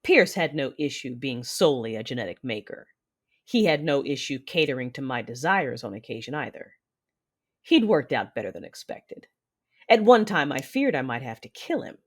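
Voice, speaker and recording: A woman as an expressive, imperious dark warlord. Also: a bass voice, breathy edge, exaggerated voice. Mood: measured, brooding. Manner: measured, imperious. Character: commanding, calculating dark-side warlord mother in armor. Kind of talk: first-person dramatic narration.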